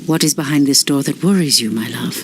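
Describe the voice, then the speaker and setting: Resolute elf priestess. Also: female voice, extremely low pitch, brisk, background noise. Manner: determined, defiant.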